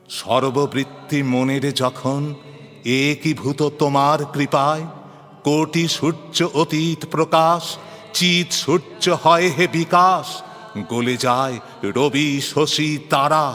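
Slow, solemn man reciting in deep reverb. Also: a bit bright. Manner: solemn, declamatory, drawn-out chanting phrasing. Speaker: poetry reciter. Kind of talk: dramatic devotional poetry recitation. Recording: long hall reverb, music behind. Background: music.

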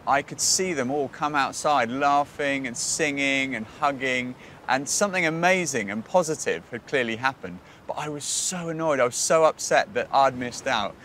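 Casting: British male presenter, thirties, earnest faith storytelling, measured phrases and pauses, music underneath. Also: medium pitch, a bit bright, a little hiss or hum. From Britain. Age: 30s.

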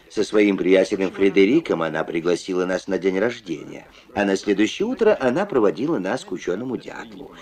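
A man's voice: fairly low pitch, a little mellow. A man voicing a small plush teddy bear boy who tells his adventure in a children's cartoon. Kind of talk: storytelling in a children's puppet cartoon. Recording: old film soundtrack with music.

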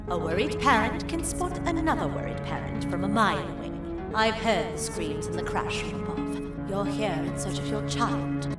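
A gracious animal queen from a game played by a woman speaks with stately drama and wide swings of pitch. She is formal and motherly, in an echoing space. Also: far from the mic, lightly acted. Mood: regal. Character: regal, gracious kangaroo queen, theatrical and motherly. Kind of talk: scripted video game character lines.

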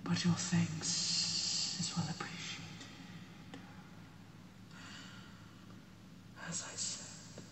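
Woman as a slow, hushed, cavernous dragon mentor. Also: fairly low pitch, flat delivery. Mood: stern but kind. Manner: hushed, breathy, formal and deliberate. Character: gentle, scholarly female dragon, proud and measured mentor. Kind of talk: ASMR fantasy roleplay. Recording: cavernous reverb, distant, noisy ambience.

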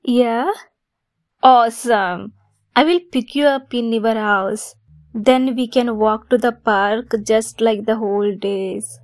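A woman voicing an affectionate, playful young female best friend secretly in love, in a soft, halting ASMR roleplay. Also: on the high side, no echo, studio quality. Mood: warm, teasing. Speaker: audio roleplay voice actress.

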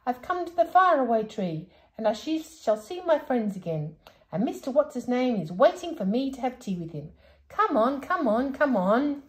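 A woman voicing an old, hard-of-hearing, cheerful saucepan man from a storybook who mishears words and talks loudly. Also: on the high side, measured pace, echoey room, pitched above the performer's own voice. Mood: yelling. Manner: loud, excitable, mishears words.

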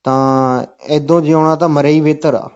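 A man's voice: on the high side, steady tone, moderate pace, a little mellow, clean tone. A man with a Punjabi accent making a comic phone call, talking insistently and falling back on the same stock phrases. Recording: dull, lo-fi phone call recording.

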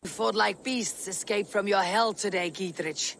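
An actor playing a stern, impatient Viking warrior with a blunt manner in a video game. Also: male voice, high voice, moderate pace, gravelly, a bit bright, slight background noise. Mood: brooding. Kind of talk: dramatic video game acting.

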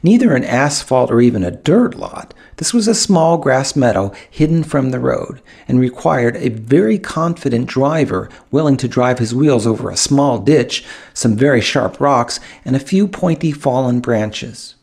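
A man reads a first-person story in a scripted audiobook style. His voice is on the low side, with a gravelly creak and a husky grain. He tells it with wry touches and pauses for dramatic effect. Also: expressive, a little mellow. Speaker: author reading own audiobook.

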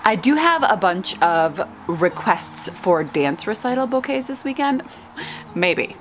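A woman in her thirties chatting casually to camera as a vlog host, with an expressive, gushing and playful manner. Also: medium pitch, unhurried, slight background noise. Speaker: flower farmer and vlog host. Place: outdoors on a covered house porch.

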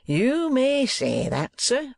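A man's voice: very high voice, wildly swooping pitch, slow pace, vocal fry, dry recording, voice pushed up, exaggerated voice. A man voicing a boastful, hypocritical middle-aged schoolmaster with pompous, oily sales patter in an audiobook. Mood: self-important.

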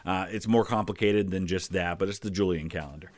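Low-voiced, chatty American man explaining history. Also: fairly quick, no room sound. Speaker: history video host. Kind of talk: casual reaction commentary, explaining history.

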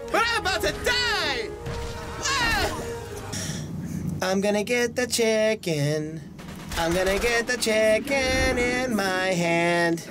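Animated high-pitched young man gaming. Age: young adult. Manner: excitable, self-deprecating, often exclaiming in play. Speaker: voice actor and gaming video host. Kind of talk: casual let's play commentary. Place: small indoor room. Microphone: desk microphone close by, with headset worn. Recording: game music behind the voice.

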